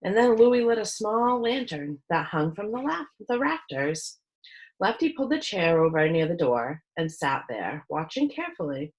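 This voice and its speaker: A woman reading a children's book aloud as a storyteller, with expressive narration. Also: fairly low pitch, moderate pace, low-quality recording.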